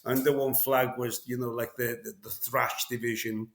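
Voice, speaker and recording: A man's voice: mid-range voice, little pitch movement, normal speed, a bit bright, roomy sound. A middle-aged Welsh man from North Wales, a veteran drummer telling his story in a casual interview, rambling with many fillers and restarts.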